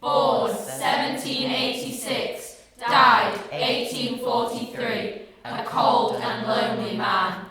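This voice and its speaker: A teenage girl playing a solemn reader of a grim epitaph in a radio play, speaking deliberately. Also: a little high, crowd noise. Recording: distant, very echoey, music behind.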